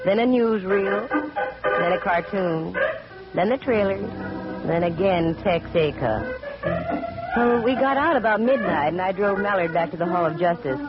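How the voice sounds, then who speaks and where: A woman's voice: medium pitch, sing-song, a little slow, loud music behind the voice. A woman acting a wry, wisecracking female private investigator in 1940s radio detective style. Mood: wry narration. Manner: wisecracking, sardonic. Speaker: lead actress and first-person narrator. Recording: muffled vintage radio recording.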